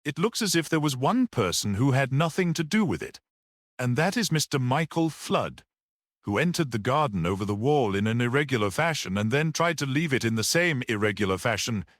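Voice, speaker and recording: A man voicing a mild, quietly grave little priest-detective in an audiobook, gentle and matter-of-fact. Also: medium pitch, big pitch swings, a little fast, dry recording, studio quality, voice pushed up. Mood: gently reasoning.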